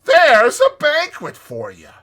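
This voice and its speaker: A cheerful, bossy rat of a storybook, as a man plays him. He is loud and forceful, with a high, leaping pitch. His manner is chummy and exclamatory, that of a loyal friend rallying someone. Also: wildly swooping pitch, heavily acted. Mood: jolly, teasing. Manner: exclamatory, brisk old-chap chumminess.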